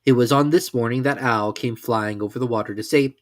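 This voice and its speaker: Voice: mid-range voice, brisk, no room sound, clean studio sound. A narrator giving a dramatic reading of a children's story.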